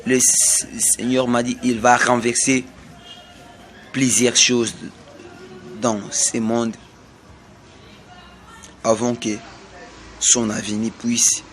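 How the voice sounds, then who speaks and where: A man from Congo with a Congolese French accent, delivering a slow, repetitive prophetic sermon. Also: mid-range voice, level tone, a bit bright, a little hiss or hum. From Congo (DRC). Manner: slow, repetitive, emphatic pronouncements. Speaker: self-styled prophet.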